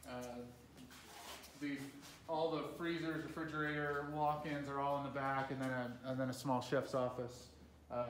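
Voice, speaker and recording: Man guiding a tour in an echoey shell. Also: mid-range voice. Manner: casual, pointing things out, frequent fillers. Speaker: tour guide. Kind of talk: walking site tour. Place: large unfinished timber building under construction. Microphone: camera from a distance. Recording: echoey room, distant mic, background noise.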